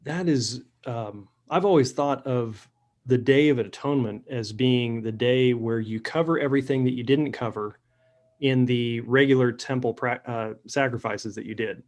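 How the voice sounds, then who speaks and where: A man hosting a podcast interview, mostly quiet, with short affirming replies and his own casual thoughts. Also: medium pitch, moderate pace. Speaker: podcast host.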